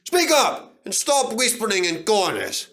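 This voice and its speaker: A man voicing a gruff, boastful male engine who talks bluntly and loudly. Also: high-pitched, huge pitch swings, measured pace, pitched above the performer's own voice, heavily acted. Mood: blustering. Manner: blunt, loud and swaggering.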